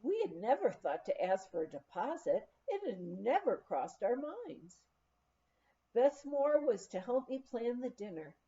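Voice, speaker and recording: Lively, high-voiced woman reading a memoir. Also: unhurried, lo-fi sound. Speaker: audiobook reader. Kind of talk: reading a memoir aloud, scripted narration.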